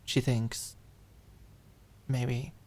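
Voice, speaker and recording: A woman narrating scripted audiobook fiction in a dramatic ASMR style, with expressive, deliberate phrasing. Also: rumbling low.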